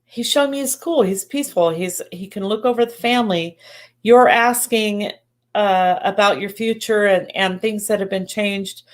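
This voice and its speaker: A woman doing live psychic readings who sounds congested and talks in halting bursts, often restarting and correcting herself. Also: mid-range voice. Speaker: psychic medium. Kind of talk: live-stream readings, casual chat.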